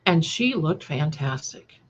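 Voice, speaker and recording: A woman's voice: deep voice, expressive, slow pace, dull audio. A woman in her sixties hosting a casual livestream, chatting affectionately with listeners and using endearments. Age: 60s. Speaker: livestream host. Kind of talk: casual live chat with viewers.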